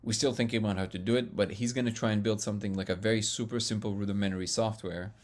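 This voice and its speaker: A man hosting a casual live stream, reading viewer comments and answering them in an excitable, loud, booming voice, laughing often. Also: quick pace. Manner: excitable, laughs often, reads chat aloud. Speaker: livestream host answering chat.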